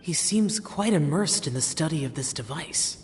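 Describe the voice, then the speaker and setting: A man's voice: fairly high pitch, lively intonation, moderate pace, music in the background, close to an everyday voice. An actor playing an aloof, reserved young male guardian warrior in a video game, speaking tersely. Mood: reserved, dry. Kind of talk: scripted video game dialogue.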